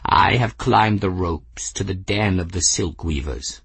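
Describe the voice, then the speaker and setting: Man voicing a proud, low-voiced warrior cat prince. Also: measured pace, a bit bright, slightly rough, no echo, exaggerated voice. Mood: proud, defiant. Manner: formal, oratorical, menacing. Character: proud, fierce male warrior cat prince, coldly defiant. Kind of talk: fantasy audiobook character voice.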